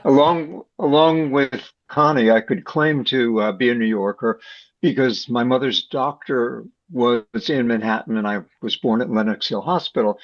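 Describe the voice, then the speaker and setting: A man's voice: medium pitch, normal speed, no room sound, highs cut off. An older man with an American accent, giving a casual self-introduction.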